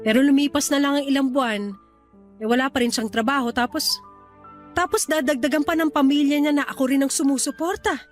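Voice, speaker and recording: A high female voice of an actress as a worn-out, resentful wife in a radio drama. She confides in a plaintive, halting way, with faint music underneath. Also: natural voice. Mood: weary, confiding. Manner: plaintive, venting, hesitant. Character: weary, resentful young wife confiding in her cousin. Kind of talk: Tagalog radio drama dialogue.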